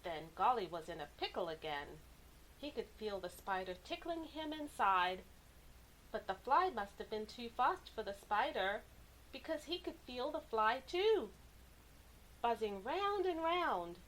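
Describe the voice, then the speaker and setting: A woman reading a children's story aloud with animated, deliberate, dramatic expression. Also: medium pitch, a little hiss or hum, poor audio. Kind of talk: expressive picture-book narration for kids.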